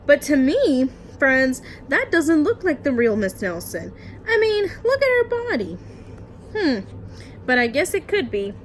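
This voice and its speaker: A woman with a fairly high voice reads a children's story aloud like a teacher. Her pitch moves a lot and her pace is slow and careful. She often speaks straight to her young listeners. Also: big pitch swings, a little hiss or hum. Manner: addresses children directly, careful phrasing. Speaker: teacher reading aloud to pupils. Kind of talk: expressive children's storybook read-aloud with asides.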